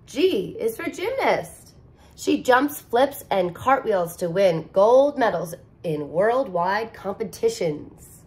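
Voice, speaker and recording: A woman in her thirties, a teacher, reading a picture book aloud to young children in an expressive, sing-song way, recorded close to the camera in a living room. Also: mid-range voice, slow pace. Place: living room with couch, indoors.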